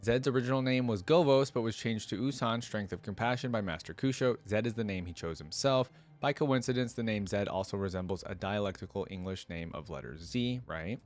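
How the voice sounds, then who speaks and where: A man's voice: fairly low pitch, a little fast, hardly any pauses, soft background music. A man giving casual reaction commentary, reading aloud and adding informal, exclaiming asides as host.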